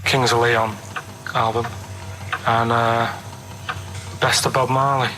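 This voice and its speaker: A man's voice: medium pitch, little pitch movement, slow pace, pushed and bright, faint music behind, rough recording. A man chatting casually as a radio co-host, downbeat, grumpy and matter-of-fact.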